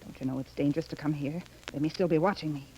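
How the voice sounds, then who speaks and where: An actress playing a defiant, curt wealthy widow in a 1930s radio melodrama. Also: female voice, sits low, very fast, muffled recording, close to an everyday voice. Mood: nervous, defiant. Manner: curt, snappish, dismissive. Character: hard-bitten, defiant wealthy young widow. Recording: hissy 1930s radio recording.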